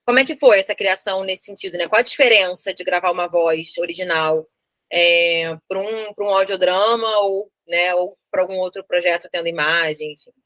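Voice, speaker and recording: A woman's voice: lowish voice, moderate pace, fairly bright tone, dry recording, poor audio. A Brazilian woman asking conversational, elaborated interview questions as the interviewer, adding frequent tag words.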